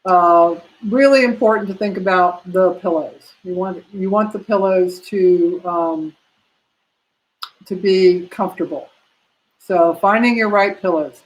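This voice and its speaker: A woman's voice: fairly low pitch, a little slow, rough recording. Chatty woman teaching a massage class. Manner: chatty, many fillers, talks to herself while clicking slides. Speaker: prenatal massage instructor. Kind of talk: live online class, casual teaching.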